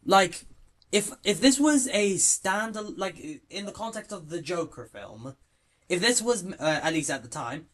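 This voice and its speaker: A young man hosting a casual podcast chat, excitable and swearing, often stumbling over his words. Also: high-pitched, measured pace. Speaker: podcast host.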